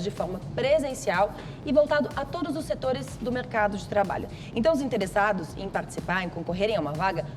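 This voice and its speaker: A woman with a Brazilian accent presenting news on a morning television show in a conversational style. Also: mid-range voice. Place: television studio.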